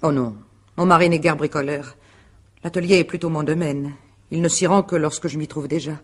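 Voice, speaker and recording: A woman's voice: low voice, fairly even intonation, brisk. A woman playing a self-possessed suspect in a radio mystery, giving concise, guarded answers. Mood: composed. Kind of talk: French radio mystery drama acting.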